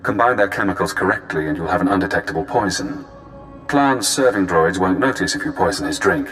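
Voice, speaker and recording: An actor playing a cool, cunning spy handler in a video game, speaking in measured, cerebral, clipped phrases. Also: male voice, sits low, expressive, fairly bright tone, soft background music. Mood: calculating.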